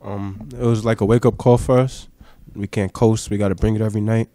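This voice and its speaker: Young male athlete, low dark voice, flat and matter-of-fact, dry close mic. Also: a little fast, dry recording. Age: young adult. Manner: brief, matter-of-fact answers. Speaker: college basketball player. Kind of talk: postgame press conference answers. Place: press conference room. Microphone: table mic.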